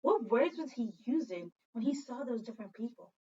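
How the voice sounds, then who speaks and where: A woman teacher doing an expressive read-aloud for children, telling the story dramatically and prompting listeners with questions. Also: a little high, moderate pace, slightly rough. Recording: dull lo-fi sound, distant from mic.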